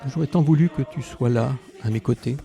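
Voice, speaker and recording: Guy doing an old widower in an audio drama, very dark soft voice, creaky, reflective and tender. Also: medium pitch, fairly quick, lightly acted. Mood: wistful, tender. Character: elderly widowed former resistance fighter, tender and nostalgic. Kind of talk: solemn literary narration of written memories.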